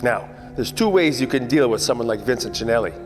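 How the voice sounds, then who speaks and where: A man acts out a wry 1940s private eye narrating a radio noir parody. His mid-pitched voice rings with long hall reverb. He delivers deadpan, world-weary narration and hard-boiled quips. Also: a little fast, faint music behind, natural voice. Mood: sardonic.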